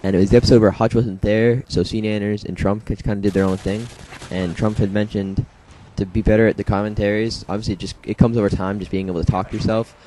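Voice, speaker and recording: A young man, slightly hoarse from a congested throat, rambling casually through his own match footage with many fillers and self-deprecating asides. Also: lowish voice, little pitch movement, quick pace, few pauses, dark tone, slight background noise, rough recording. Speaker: gameplay commentator. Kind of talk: casual gameplay commentary.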